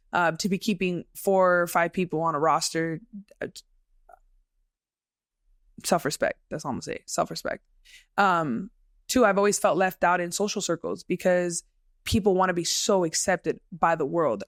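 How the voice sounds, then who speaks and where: A man's voice: high-pitched, fairly bright tone, dry recording, studio quality. A man hosting his own podcast, talking earnestly in a reflective motivational monologue, repeating phrases for emphasis. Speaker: podcast host.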